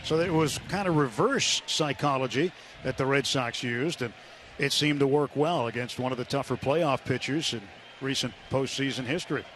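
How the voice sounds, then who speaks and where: A man doing live radio play-by-play of a baseball game, measured and descriptive, filling gaps between pitches with statistics. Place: stadium broadcast booth. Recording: noisy ballpark radio broadcast. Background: loud ballpark ambience.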